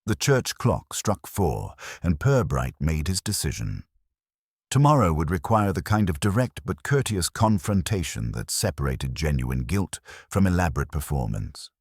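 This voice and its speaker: A man narrating a scripted mystery story in a measured, formal storytelling manner. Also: deep voice, sing-song, slightly rough, no echo, studio quality.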